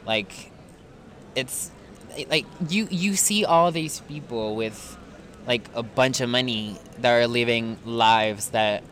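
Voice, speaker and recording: A man's voice: medium pitch, takes it slowly, fairly bright tone. Frank young man, guest on a casual podcast, laughs a lot, outdoors. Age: 20s. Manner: frank, laughs often, swears. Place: outdoors in a park.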